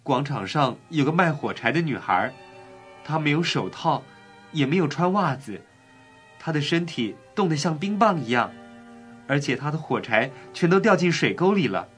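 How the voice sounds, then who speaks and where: A male actor playing a gentle, compassionate, sorrowful young prince in a fairy-tale drama, with an earnest, pleading, tender delivery. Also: fairly high pitch, sing-song, normal speed. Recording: faint music bed. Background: faint music.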